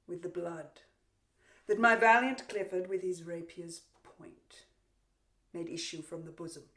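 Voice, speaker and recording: A woman performing a Shakespearean stage monologue as a vengeful warrior queen, theatrical and taunting, with drawn-out pauses, gloating over a captive. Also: fairly low pitch, a bit bright, full character voice. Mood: cruel, gloating. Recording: roomy, echoing untreated room.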